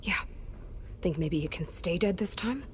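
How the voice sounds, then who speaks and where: A woman's voice: fairly low pitch, expressive, a little slow, a little hiss or hum. A woman playing a determined, defiant young hero in a video game, speaking naturally with dry sarcasm. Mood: wary, tired. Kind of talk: video game cutscene acting. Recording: music behind the voice.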